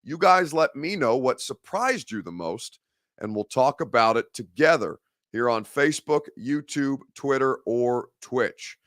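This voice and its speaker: A man hosting a live-stream sports talk show, hoarse and gravelly from a head cold, rambling and conversational as he reads viewer comments. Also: mid-range voice, expressive, measured pace, a bit bright, no echo, clean studio sound. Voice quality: gravelly, growly.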